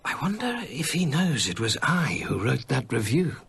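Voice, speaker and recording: A nervous, bookish English gentleman in a radio play. His pitch swings expressively as he stammers politely and hesitates, and there is faint noise behind him. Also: big pitch swings, normal speed, vocal fry. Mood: uneasy. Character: anxious, polite, scholarly English gentleman, increasingly haunted. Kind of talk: radio drama acting.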